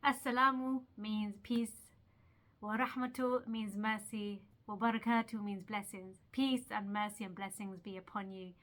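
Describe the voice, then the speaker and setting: A woman's voice: mid-range voice, fairly even intonation, unhurried, fairly bright tone. A young woman from Eritrea talking to camera in a casual, earnest vlog, chatty and self-laughing, asking the viewer questions. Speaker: video creator. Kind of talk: casual motivational talk to camera.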